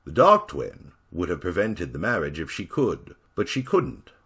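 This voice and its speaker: Deep, husky male storyteller. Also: moderate pace. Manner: theatrical, expressive, with dark relish. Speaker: narrator. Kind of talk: dramatic storytelling reading.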